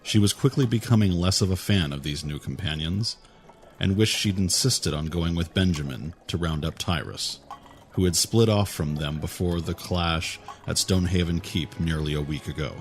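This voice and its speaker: A man narrating a fantasy audio drama with a steady, even storytelling manner. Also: sits low, normal speed.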